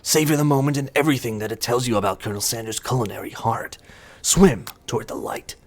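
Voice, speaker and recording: A man reads visual novel text aloud for a gaming video. His voice sits at an average pitch for a man. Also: fast talker. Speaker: gaming channel co-host.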